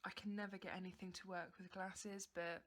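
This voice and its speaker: A woman's voice: medium pitch, little pitch movement, fairly quick, a bit bright. A woman vlogger giving a casual, chatty and understated makeup review to the camera in an informal way.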